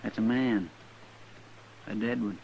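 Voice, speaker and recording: An actor playing a simple, anxious, loyal rustic marshal's helper with an in an old-time radio Western. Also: male voice, on the low side, slow, creaky, darkish tone. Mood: uneasy. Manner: plain, folksy, easily exasperated. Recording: dull, slightly noisy vintage radio recording.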